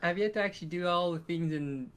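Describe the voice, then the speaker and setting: A man's voice: high-pitched, quick pace, fairly bright tone. A man chatting casually as a podcast co-host, giving his opinions in an informal way. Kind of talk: casual chat about favourites.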